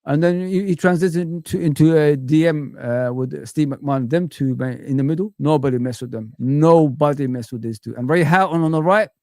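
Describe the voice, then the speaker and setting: A man from Africa chatting casually as a football pundit on a fan livestream, reminiscing in an animated way and often adding "you know what I mean". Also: fairly high pitch, normal speed, few pauses, no room sound, studio quality.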